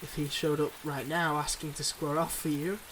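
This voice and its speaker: A man's voice: a little high, little pitch movement, moderate pace, a bit bright, a little hiss or hum, close to an everyday voice, rough recording. A man playing a teasing, self-deprecating young boyfriend in an intimate roleplay audio drama, speaking straight to the listener with playful banter.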